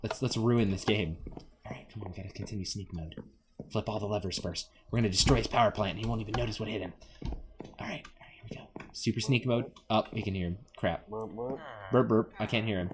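A man giving casual, rambling playthrough commentary as a gaming host, jokey and excitable. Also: on the low side, brisk, noisy background.

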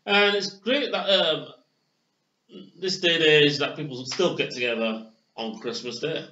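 A man's voice: on the high side, a little slow, sharp tone. A young man from England hosting a casual beer tasting review at home, hesitant and full of fillers, recorded on a webcam in a small room. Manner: hesitant, many fillers and restarts. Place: small bedroom with posters, indoors. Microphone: webcam on desk. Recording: roomy, dull lo-fi webcam audio.

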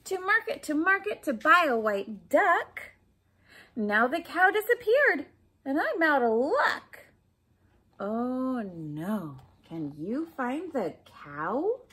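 High, animated woman reading to children. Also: slow. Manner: expressive, addressing young listeners with questions and asides. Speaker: storybook reader for children. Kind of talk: children's picture book read-aloud. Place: small furnished room at home, indoors.

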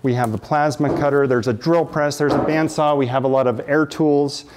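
A man's voice: fairly high pitch, fairly even intonation, moderate pace, a little mellow. A man in his thirties giving a casual, enthusiastic walking tour of a large workshop, gesturing as he talks with many fillers. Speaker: makerspace director giving a shop tour. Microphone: camera mic, medium distance.

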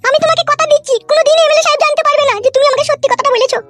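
Squeaky, furious cartoon wife. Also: male voice, fairly even intonation, rapid-fire. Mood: coaxing, surprised. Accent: rural West Bengal. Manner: ranting, threatening, earthy. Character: furious, vengeful wife of a politician, theatrically boastful. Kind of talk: comedy cartoon dubbing. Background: music.

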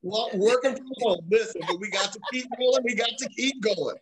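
A man's voice: sits high, normal speed, a loud crowd. Middle aged guy hosting a chatty interview show from home on video call, encouraging, gives advice. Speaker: talk show host. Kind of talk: casual talk show interviewing. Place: small room at home, indoors.

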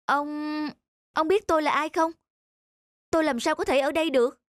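Woman as an anxious, high-voiced young man. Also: fairly even intonation, normal speed, no room sound, studio quality, close to an everyday voice. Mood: nervous, guilty. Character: anxious down-on-his-luck young man with a guilty conscience. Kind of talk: animated moral story dubbing. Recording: music behind the dialogue.

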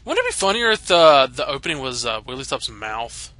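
A man's voice: mid-range voice, very animated, brisk, pushed and bright. A man hosting a let's play, giving casual, sweary gaming commentary. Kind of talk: casual gaming commentary, reading dialogue aloud.

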